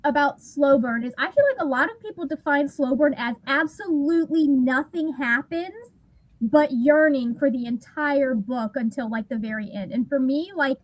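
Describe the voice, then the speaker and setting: A woman with a high voice and a dry, close sound speaks her mind bluntly and casually. Her opinions come in a loose, filler-heavy way over a video call. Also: normal speed, dry recording, rough recording. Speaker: co-host.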